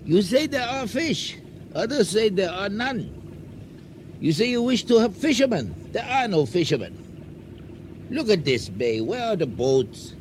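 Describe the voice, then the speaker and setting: Haggling storekeeper, high-pitched, slow and needling, sly, suspicious, radio drama, faint background noise. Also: male voice, very animated. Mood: mocking, suspicious. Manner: deliberate, needling, formal clipped phrasing.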